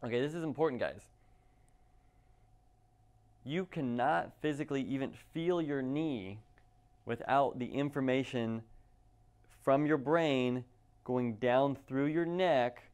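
A man's voice: mid-range voice, slow pace, pauses often, a little mellow, clean tone. A young man, a clinic doctor, speaking to camera in a conversational, persuasive way and asking rhetorical questions. He is recorded indoors in an office room on a lapel mic. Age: young adult. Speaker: clinic doctor giving a patient talk. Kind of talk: direct-to-camera health presentation.

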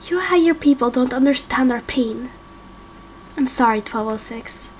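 A woman playing a calm, regretful female captor in a game roleplay, subdued, measured and ominous. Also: high-pitched, slight background noise, low-quality recording. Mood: cold, regretful. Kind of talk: amateur game roleplay acting.

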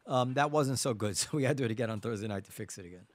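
A man's voice: mid-range voice, racing, no echo. Argumentative, stammering man hosting a podcast. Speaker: podcast host. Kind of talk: casual chat. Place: small indoor podcast studio. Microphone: close studio mic on arm with headphones.

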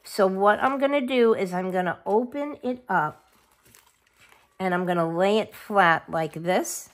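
A woman's voice: medium pitch, measured pace. A middle-aged woman with a scratchy voice from a cold, chatting casually while she shows a craft project. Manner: chatty, self-interrupting, forgets names of supplies. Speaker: craft video host.

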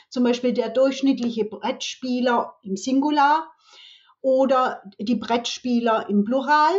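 A woman's voice: sits high, a little mellow. A woman speaking as an expert guest, explaining didactically with examples and rhetorical questions, in a slightly muffled recording. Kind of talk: explanatory interview talk.

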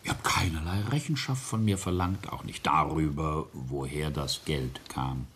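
An older actor playing a ruthless, boastful industrialist patriarch in a radio play, gravelly and husky, with a deliberate, imperious, cutting delivery. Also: male voice, a bass voice, a bit bright, slight background noise. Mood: accusing. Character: ruthless, boastful patriarch and self-made industrialist, coldly confessing. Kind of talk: German radio play acting.

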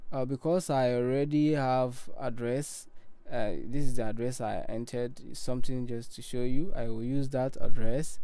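A young man narrating a screen-recorded walkthrough of his own app, with a halting, unhurried delivery and many pauses. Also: mid-range voice, mellow, smooth. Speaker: app developer walking through his own app. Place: voice-over recorded separately from the screen capture.

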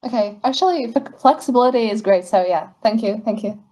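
A woman's voice: on the high side, brisk, dark tone. A woman attending a webinar, asking a question in a hesitant, step-by-step way over a video call.

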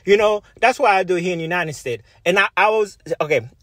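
A man's voice: high voice, animated, a little fast, fairly bright tone, no room sound, clean studio sound. A man from Haiti, a video blogger giving rambling, informal commentary and often repeating himself. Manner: rambling, repetitive, filler-heavy.

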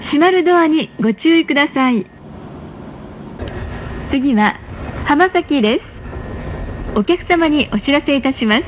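A woman's very high voice delivers scripted announcements on a train. She is polite and formal, enunciating each phrase in a measured way. The sound is dull and muffled, with clear rumbling train noise behind it. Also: expressive. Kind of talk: pre-recorded scripted public announcement. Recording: muffled, noisy onboard train speaker recording. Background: rumble of a moving train.

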